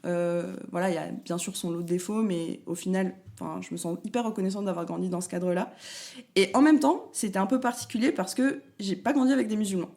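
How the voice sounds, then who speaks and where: A young woman from Paris, a talkative guest in a casual interview who pauses often on fillers. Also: mid-range voice, vocal fry, mellow. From France, Paris. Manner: chatty, talkative, many fillers and restarts. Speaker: guest, content creator.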